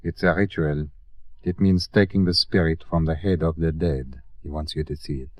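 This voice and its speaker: A man playing a grave local explaining a ritual in an old radio drama, hushed and serious. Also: rumbling low, steady tone, moderate pace, dry recording. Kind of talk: 1950s radio drama acting. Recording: dull old radio recording.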